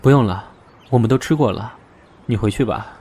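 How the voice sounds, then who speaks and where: A man's voice: medium pitch, brisk, mellow, breathy edge, natural voice. A man acting in an audio drama as a weary, conflicted young man, restrained and introspective. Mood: distant. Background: background music.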